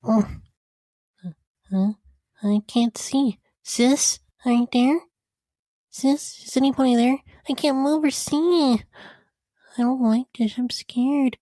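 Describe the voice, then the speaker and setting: Woman as high-pitched frightened little pony girl. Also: dark tone, no echo. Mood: scared, confused. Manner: childlike, halting, anxious questioning. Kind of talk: horror dramatic reading.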